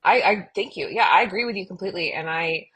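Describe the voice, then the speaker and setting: Husky woman chatting as podcast co-host. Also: fairly low pitch, fast, a bit bright, low-quality recording. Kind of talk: casual discussion of a fiction podcast.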